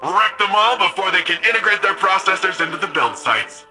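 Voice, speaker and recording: A man's voice: sits high, expressive, brisk, music in the background. A man playing an upbeat, keen ally who guides a soldier over the radio in a sci-fi video game, talking colloquially. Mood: concerned, determined. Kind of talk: video game mission dialogue.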